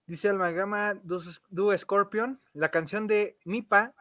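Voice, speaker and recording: High-voiced Mexican man hosting a livestream. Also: fairly even intonation, takes it slowly, a bit bright, no room sound, clean studio sound. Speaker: livestream host, interviewer. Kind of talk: casual livestream chat, reading out comments.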